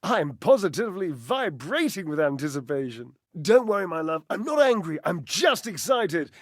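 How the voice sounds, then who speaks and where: High, flamboyant, flirty game character. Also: male voice, sing-song, no echo, clean studio sound. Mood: excited. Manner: theatrical, gushing, pet names and drawn-out words. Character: flamboyant, flirtatious, theatrical male living household appliance. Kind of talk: dating-game character voice acting.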